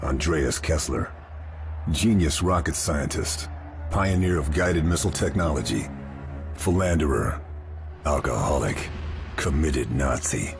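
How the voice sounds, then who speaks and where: An actor playing a grim, resolute World War Two sniper, narrating a video game cutscene in a terse, hard-boiled, gravelly voice. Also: male voice. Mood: brooding, terse. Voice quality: very deep, gravelly. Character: grim, resolute male WWII Allied sniper agent on a mission. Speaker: narrating protagonist.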